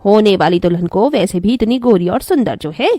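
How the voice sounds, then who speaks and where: A woman's voice: medium pitch, lively intonation, normal speed, dark tone, no echo, clean studio sound, lightly acted. A woman voicing a gossiping, superstitious neighbour who is snide and judgmental in a cartoon story. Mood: taunting.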